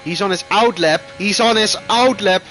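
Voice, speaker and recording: A man whose first language is Dutch, commentating live on an online racing game with excitable bursts. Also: high voice, normal speed. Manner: excitable, rising loud bursts at crashes. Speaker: esports race commentator.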